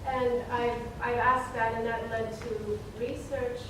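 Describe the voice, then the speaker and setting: A woman born in Ethiopia, a novelist on a panel, answering audience questions in a reflective, hesitant way with many pauses. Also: on the high side, flat delivery. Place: conference room. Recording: echoey room, far from mic, noisy.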